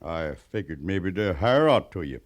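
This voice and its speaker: A man's voice: deep voice, sing-song, normal speed, no room sound. An American actor playing a plainspoken, weathered mountain man scout in a western radio drama, with a folksy rustic drawl. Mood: plainspoken, confident.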